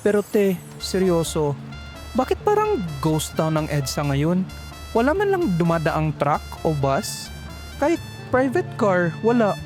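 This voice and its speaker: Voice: mid-range voice, huge pitch swings, unhurried, a little mellow, faint music behind. A performer playing a sassy, flamboyant call center agent who complains in dramatic slang. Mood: grumbling. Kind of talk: horror story dialogue.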